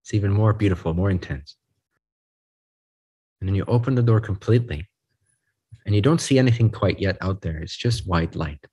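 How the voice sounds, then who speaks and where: A man leading a guided group meditation, speaking in a soothing, unhurried way in short phrases with pauses. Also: low-pitched, a little mellow, slightly rough.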